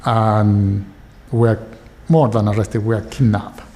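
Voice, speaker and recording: Older man, Chilean accent, low creaky voice, conversational testimony peppered with "you know". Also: steady tone, slow, mellow. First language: Spanish. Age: 70s or late 60s. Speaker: documentary interviewee. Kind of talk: personal testimony.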